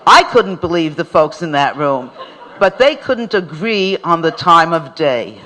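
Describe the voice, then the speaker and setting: A man's voice: a little high, big pitch swings, unhurried, pushed and bright, poor audio. An older man reading a prepared anecdote to an audience in a wry, self-deprecating storytelling manner. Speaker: activist speaker at a tribute event.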